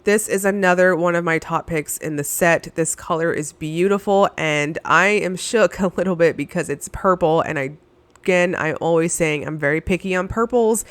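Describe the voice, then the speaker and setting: A woman's voice: lowish voice, moderate pace, no room sound. A woman with a nasal, stuffy voice from being under the weather, enthusiastically reviewing nail polishes in a voice-over with frequent "uh"s. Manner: enthusiastic, gushing, with frequent fillers.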